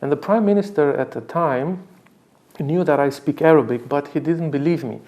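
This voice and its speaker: Halting, mellow-voiced man from Romania. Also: a little high. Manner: halting, hesitant, formal, repeats words. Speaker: diplomat, interviewee. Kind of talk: spoken tribute in an interview.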